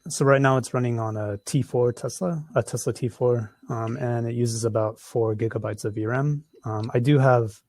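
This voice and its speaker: A man's voice: medium pitch, steady tone, normal speed, few pauses, darkish tone, no room sound. A man with an American accent answering audience questions after a conference talk, with lots of ums and restarts. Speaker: conference presenter.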